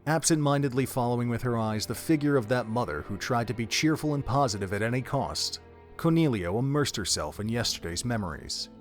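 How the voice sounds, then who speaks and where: A man's voice: mid-range voice, lively intonation, fairly quick, faint music behind. Male narrator of a dramatic story.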